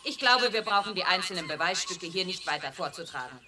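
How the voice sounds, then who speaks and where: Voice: mid-range voice, expressive, brisk, bright, forceful tone. A performer playing an officious animal judge in a radio play, speaking formally, pompously and strictly. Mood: stern, formal. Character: officious animal judge presiding over a trial. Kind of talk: German radio play acting. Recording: slightly noisy vintage radio recording.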